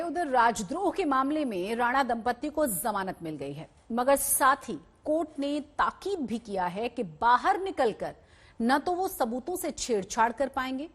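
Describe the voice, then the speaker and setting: A woman presenting the news as an anchor in a scripted style. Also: on the high side.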